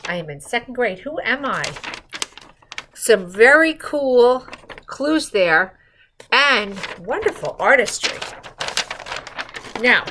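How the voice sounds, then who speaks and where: A woman's voice: on the high side, very animated, slow, long pauses, background noise. A middle-aged woman hosting school morning announcements, speaking cheerfully to children from a seated spot in a school room. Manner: cheerful, addresses children, reads clues aloud.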